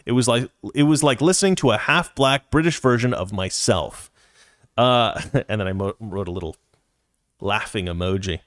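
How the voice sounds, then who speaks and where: A man's voice: lowish voice, fairly bright tone, no echo. Rambling man in his forties, dry sound. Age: 40s. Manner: rambling, repeats words, chuckles. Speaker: show host reading live chat. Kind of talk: casual livestream chat.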